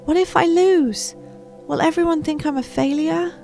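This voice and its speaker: A woman's voice: extremely high pitch, fairly even intonation, moderate pace, creaky, darkish tone, soft background music, voice pushed up. A woman voicing an anxious, eager young boy ninja from a storybook, in an exclaiming, self-questioning manner. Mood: worried.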